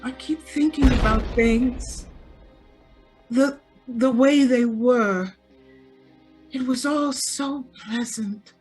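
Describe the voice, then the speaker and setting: A woman's voice: on the high side, darkish tone. A woman playing a naive, dreamy rich young sweetheart in a radio drama, plaintive and languid. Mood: wistful.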